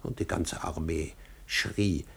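A slow, husky old servant in a classical radio play. His deep voice is weary and restrained, full of grief and bitter irony. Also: fairly even intonation, fairly bright tone, exaggerated voice. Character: old grieving manservant of a duke, bitterly ironic. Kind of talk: classical German stage drama acted for radio.

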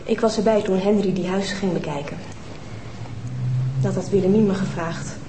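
A woman's voice: medium pitch, fairly even intonation, dark tone, a little hiss or hum, lightly acted, low-quality recording. A woman playing a guarded young woman. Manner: measured, guarded. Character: composed young woman hiding an affair.

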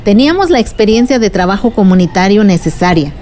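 Radio serial aunt played by a woman: wise, patient, mid-pitched, explanatory, faint background noise. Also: lively intonation, fast, a little mellow, close to an everyday voice. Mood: patient, earnest. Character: patient, wise village aunt recalling women's struggles. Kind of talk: radio drama acting.

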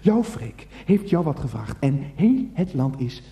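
A man's voice: on the high side, big pitch swings, unhurried, mellow, reverberant. An actor playing a pushy, gushing TV game show host in a radio play parody, theatrical and repeating himself as he goads. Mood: gushing, goading.

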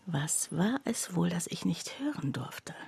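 A woman narrating a literary radio play in the first person, in a measured, hushed, reflective way. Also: on the low side, sing-song, creaky, very noisy, full character voice.

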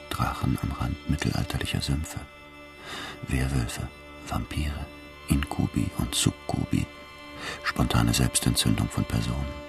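Radio drama: enigmatic fatherly elder, extremely low creaky voice, measured delivery, music underneath. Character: mysterious fatherly older man, cryptic and businesslike. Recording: music behind the voice.

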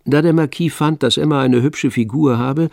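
A man narrating a literary radio play in a measured, composed manner. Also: fairly high pitch, gravelly, darkish tone, no room sound, clean studio sound.

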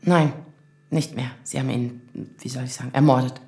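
A woman acting a vulnerable, searching woman in her thirties in a naturalistic radio drama, with a husky, breathy voice. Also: very deep voice, steady tone, moderate pace, mellow, full character voice. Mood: somber. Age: 30s. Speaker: lead actor.

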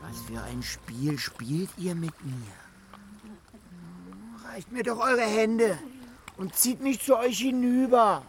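A lustful, embittered dwarf villain for a fantasy radio drama. He speaks slowly and theatrically, with wide swings from hushed to roaring. Loud music plays behind him. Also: high-pitched, expressive. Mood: pleading, eager. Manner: theatrical, volatile, swinging from whispers to shouting. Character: hairy, scarred male dwarf, lustful, bitter and power-hungry. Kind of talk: fantasy radio play acting.

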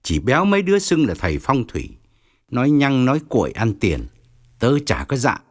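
A man's voice: mid-range voice, wildly swooping pitch, normal speed. A man playing a dismissive male deputy minister who scoffs offhandedly. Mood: scoffing.

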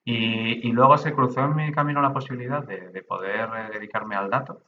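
A man's voice: mid-range voice, a little fast, highs cut off, slight background noise. A middle-aged man the Basque Country, a podcast guest who explains things in a conversational way, with many restarts and hesitations. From Basque Country, Spain. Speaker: guest, data protection official. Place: remote from his own room.

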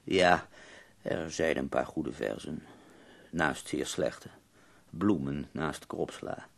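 Sick bitter old-time poet, super deep n gravelly, formal wry way of talking, radio play. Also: male voice, heavily acted. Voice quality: gravelly, husky. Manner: formal, deliberate, articulate, wry. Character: ailing, embittered, ironic nineteenth-century male poet. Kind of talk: Dutch radio play acting.

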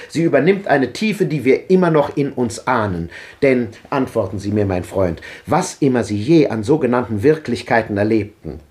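Casting: a fiery old thinker in a 1950s radio play, declamatory, with a pitch that swings widely. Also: male voice, a little mellow. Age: older. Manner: declamatory, impassioned, rhetorical. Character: old man, fervent visionary philosopher. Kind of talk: 1950s radio drama acting.

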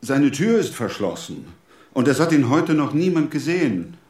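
A man's voice: a little high, sing-song, moderate pace, a little mellow, reverberant. A man playing a worried, hesitant townsman concerned about a neighbour in a radio drama. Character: worried townsman anxious about his missing bookseller neighbour.

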